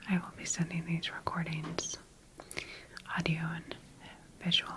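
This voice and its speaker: A woman playing a calm, clinical scientist in a whispered ASMR roleplay, speaking in a hushed, measured, procedural way. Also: low-pitched. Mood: calm, formal. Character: calm, clinical female scientist tending her bioengineered creation. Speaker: ASMR performer.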